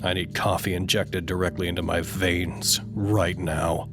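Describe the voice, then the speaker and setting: An actor playing a fussy, self-important, easily wounded doctor in an audio drama, with a pompous, theatrical delivery. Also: male voice, low voice, level tone, measured pace, over music. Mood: weary, sullen. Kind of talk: dramatic monologue, audio log.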